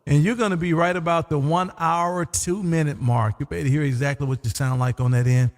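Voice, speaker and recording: A man hosting a live ham radio stream, chatting casually and folksy, his voice going hoarse. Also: on the high side, darkish tone, big-room sound. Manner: laid-back, folksy, says "man" often. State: losing his voice. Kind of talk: casual on-air radio chat.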